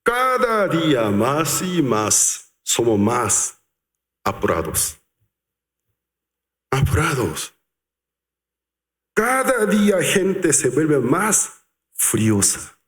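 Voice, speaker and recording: A male pastor preaching a sermon, acting out quoted voices and slowing down to stress key words. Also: fairly high pitch. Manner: animated, acts out quotes, slows for emphasis. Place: large reverberant church hall. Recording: echoey church hall.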